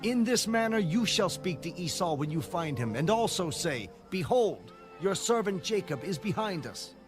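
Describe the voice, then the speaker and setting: A man's voice: sits high, sing-song, moderate pace. A man playing an anxious, pleading biblical patriarch in a dramatized audio Bible, with formal archaic phrasing. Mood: anxious, hopeful. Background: background music.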